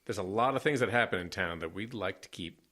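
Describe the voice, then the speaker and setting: A man's voice: on the low side, fast talker, a bit bright. An actor playing a blunt, impatient man set on keeping the town's secrets. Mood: tense. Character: blunt male small-town fixer, secretive.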